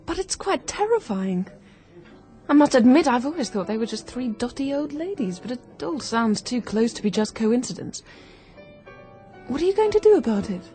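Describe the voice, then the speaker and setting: An actress playing a keen, quick-witted young woman turned amateur sleuth in a radio drama, brisk, confident and persuasive. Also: fairly high pitch, big pitch swings, mellow, soft background music. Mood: curious, eager.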